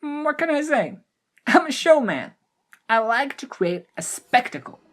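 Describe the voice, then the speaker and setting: A woman voicing an excitable, chattering male gnome showman in an audio drama, giddy and theatrical. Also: sits high, a little slow, pitched above the performer's own voice. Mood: boastful delight. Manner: exclamatory, rambling, giddy.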